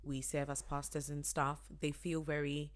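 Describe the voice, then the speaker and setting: This is a woman with a notably low-pitched voice, co-hosting a casual podcast with her husband. She talks in a relaxed, chatty way and laughs easily. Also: moderate pace. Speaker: podcast co-host. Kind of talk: casual chat.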